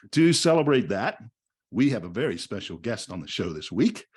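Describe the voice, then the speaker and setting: A man hosting a podcast, reading an introduction and then interviewing a guest, with a lively, emphatic delivery. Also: medium pitch, breathy edge. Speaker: podcast host.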